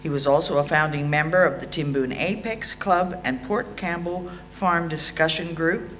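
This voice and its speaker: An Australian woman in her sixties reading a prepared eulogy aloud in a measured, dryly understated way. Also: low voice, fairly bright tone, slight background noise. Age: 60s. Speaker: eulogy reader at a memorial. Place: indoor hall.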